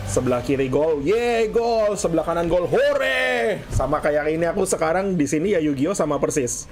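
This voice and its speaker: A man's voice: on the high side, big pitch swings, a little fast, slight background noise. A man from Indonesia streaming and chatting casually over a broadcast, joking as he goes. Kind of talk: casual watch-along commentary.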